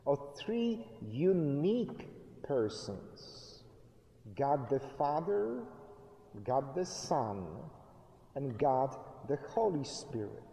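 Slow, deliberate middle-aged preacher in a church. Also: male voice, a little high, warm tone, slight background noise. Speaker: pastor. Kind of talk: sermon. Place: church sanctuary, indoors, at a lectern. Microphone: fixed camera at a distance. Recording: reverberant church hall.

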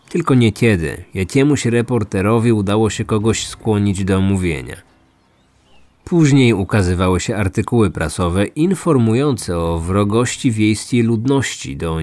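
A man's voice: lowish voice, darkish tone. A man hosting a true-crime podcast, reading scripted storytelling narration. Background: music.

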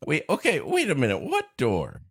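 A man's voice: fairly high pitch, wildly swooping pitch, moderate pace, gravelly, husky, no echo, studio quality. A man co-hosting a comedy podcast, riffing wryly in casual banter with mock exasperation. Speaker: podcast co-host. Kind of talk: comic banter, casual chat.

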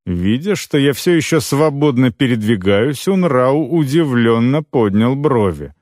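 A man narrating scripted first-person fiction as an audiobook reader, expressive, with deliberate phrase breaks. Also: medium pitch, no echo, studio quality.

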